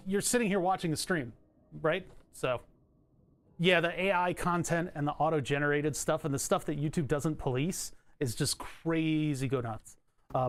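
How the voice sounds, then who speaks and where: A man streaming from his home setup, chatting casually in a rambling, self-interrupting way with a bright, trebly voice. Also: fairly high pitch.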